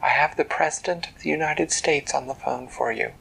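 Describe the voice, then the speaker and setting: Prim secretary on the phone, a bit higher, raspy , as a storyteller does her. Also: fairly quick, fairly bright tone, full character voice, poor audio. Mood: formal. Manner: formal, announcing. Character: woman secretary on a phone, formal.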